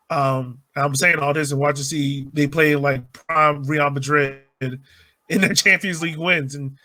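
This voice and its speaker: A man's voice: fairly high pitch, sharp tone, dry recording. A man hosting a sports podcast, chatting informally and using slang. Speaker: podcast host. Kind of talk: casual sports podcast chat.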